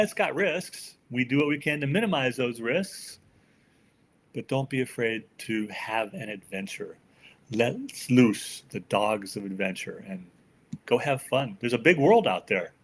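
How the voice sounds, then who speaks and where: Older American male interview guest, mid-pitched voice, folksy and emphatic delivery. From United States. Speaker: podcast guest, author. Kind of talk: conversational interview answers.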